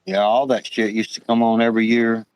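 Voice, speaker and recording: A man's voice: fairly low pitch, flat delivery, a little fast, a little mellow, clear, resonant tone, no room sound, dull audio. A man in his late fifties co-hosting a livestream, chatting easygoing and swearing casually. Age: 50s. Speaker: livestream co-host.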